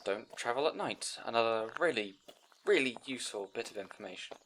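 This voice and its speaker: A man's voice: mid-range voice, expressive, brisk, fairly bright tone, a little hiss or hum, lightly acted. A man playing a timid, bookish young scribe in an audio roleplay, speaking to the listener in an anxious, hesitant, stammering way. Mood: anxious, earnest.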